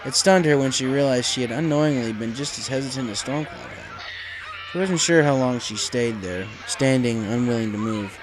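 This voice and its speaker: A man's voice: medium pitch, normal speed, background noise. A man reading a story aloud as dramatic scripted narration. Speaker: fan fiction reader. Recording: music under the voice.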